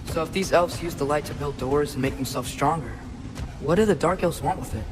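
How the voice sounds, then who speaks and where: A man's voice: on the high side, fairly quick, music in the background, lightly acted. A young male actor playing a curious, eager teenage boy adventurer in a video game, casual and inquisitive. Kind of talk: video game cutscene acting.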